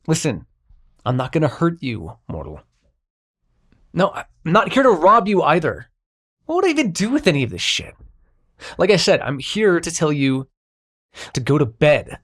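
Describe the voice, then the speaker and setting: Man playing exasperated god of dreams, widely swooping pitch. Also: wildly swooping pitch, moderate pace, pauses often, a bit bright, exaggerated voice. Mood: exasperated, bossy. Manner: sarcastic, profane, sighing, addresses listener as mortal. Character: exasperated, sarcastic god of dreams, a grumbling Sandman. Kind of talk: intimate scripted roleplay audio drama monologue.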